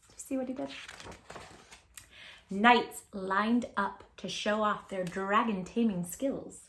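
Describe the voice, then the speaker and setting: A woman hosting a children's read-aloud, speaking in an animated, emphatic way straight to small children. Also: mid-range voice, takes it slowly, slight background noise. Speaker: children's storytime host and tutor. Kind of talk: children's read-aloud and chat to young viewers.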